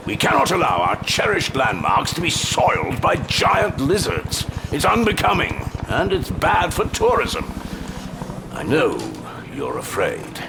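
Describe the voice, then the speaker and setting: An actor playing a pompous, unflappable older British intelligence chief with a gravelly voice and droll understatement, in an audio drama. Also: male voice, mid-range voice, noisy background, full character voice.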